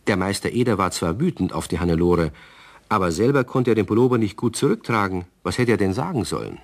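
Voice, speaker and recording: A man narrating a children's radio story calmly, speaking to young listeners. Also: low voice, fast.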